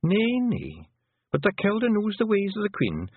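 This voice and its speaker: A man voicing a tiny, proud fairy woman with a Scottish accent, speaking in a high, lilting, measured way. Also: huge pitch swings, slightly rough, dry recording, heavily acted. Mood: solemn. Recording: tinny, phone-like lo-fi audio.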